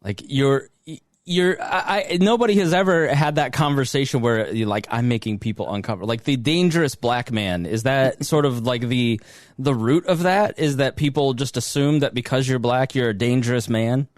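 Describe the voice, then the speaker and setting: A man hosts a casual podcast discussion and asks his guests curious questions. His voice sits at a middle pitch. The sound is dry. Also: moderate pace, no room sound. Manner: curious, questioning, laughs often. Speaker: podcast host.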